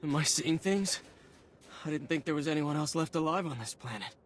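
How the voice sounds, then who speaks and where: A man's voice: fairly high pitch, expressive, a little fast, background noise, close to an everyday voice. An actor playing a wry, sarcastic male soldier in a video game, tossing out sardonic quips. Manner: sardonic, quippy. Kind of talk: scripted video game cutscene acting.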